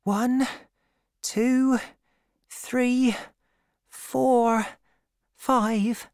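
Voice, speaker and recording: An anxious young woman in love , as played by a female storybook reader, speaks in a raised, high pitch. Her delivery trembles and pleads, tender and easily hurt. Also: fairly even intonation, drawn-out words, a little mellow, no room sound, studio quality. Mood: determined.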